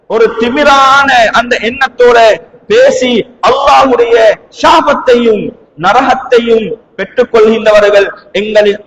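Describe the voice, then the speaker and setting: A man's voice: extremely high pitch, a bit bright. A man with a Sri Lankan Tamil accent preaching a sermon emphatically, leaning on rhetorical questions. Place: mosque hall. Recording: long hall reverb.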